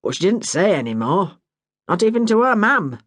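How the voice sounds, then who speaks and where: A woman's voice: medium pitch, big pitch swings, moderate pace, no room sound, studio quality, voice pushed up. A woman voicing a good-natured young man, a would-be sailor, talking in a teasing, easygoing way. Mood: hesitant.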